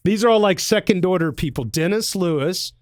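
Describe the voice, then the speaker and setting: Male host, high-pitched, chatty and upbeat, dry close sound. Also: lively intonation, fairly quick, no room sound. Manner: chatty, upbeat, laughs easily. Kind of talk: casual interview chat.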